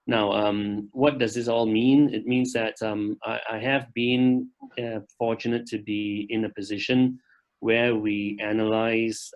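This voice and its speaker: A middle-aged man from Malaysia giving an online training talk as a guest speaker, pausing often with "um" and tagging lines with "right?". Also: on the low side, low-quality recording. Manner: halting, many "um"s and tag "right?". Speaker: guest speaker, bank executive. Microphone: video call.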